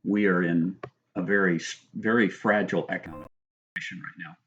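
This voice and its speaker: A man's voice: deep voice, fairly even intonation, fairly quick, a bit bright, slight background noise. Dull-sounding recording of a man reading aloud. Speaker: author reading own book aloud. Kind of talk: scripted narration with brief spoken asides.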